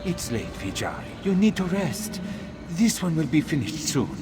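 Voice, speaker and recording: A man's voice: very animated, normal speed, music in the background. A man voicing a devoted, anguished cat-man father in a video game, pleading and tender. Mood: weary tenderness. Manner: pleading, tender, speaks of himself in third person. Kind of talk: dramatic video game dialogue. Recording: noisy ambient rumble behind voice.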